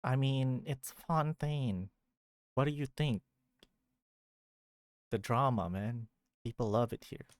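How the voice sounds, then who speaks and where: A woman's voice: rumbling low, takes it slowly, pauses often, a little mellow, no echo, studio quality. A woman streaming a video game, commenting and reading dialogue aloud over game music.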